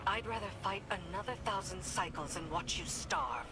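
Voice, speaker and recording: A woman's voice: medium pitch, normal speed, music in the background, close to an everyday voice. A woman voicing a loyal, blunt, battle-hardened warrior in a video game, terse and resolute like someone giving a military briefing. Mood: defiant. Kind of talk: dramatic video game acting.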